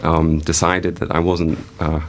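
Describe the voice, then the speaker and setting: A man's voice: extremely low pitch, level tone, a little slow, slight background noise. A young man from England with a slight German accent, talking hesitantly with many fillers as the guest in an interview. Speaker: guest artist. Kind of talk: casual interview talk.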